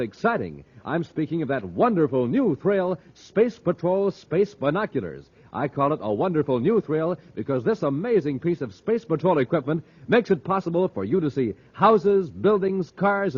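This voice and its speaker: An American man announcing a 1950s radio show in an enthusiastic, emphatic sales-pitch style. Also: breathy edge. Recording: dull vintage radio transcription.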